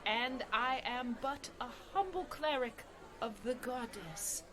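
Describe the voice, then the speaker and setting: A woman playing a gentle, devout and very polite cleric in a comedy radio play, earnest in every phrase. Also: a little high, expressive, slow, crowd noise. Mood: sweetly earnest.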